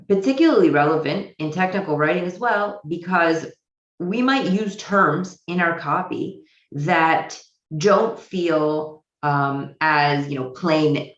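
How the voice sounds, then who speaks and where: An American woman giving a virtual conference talk, thoughtful and hesitant, pausing on fillers and restarting her sentences. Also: sits low, reverberant, highs cut off. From United States. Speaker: conference speaker. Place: home office in Israel.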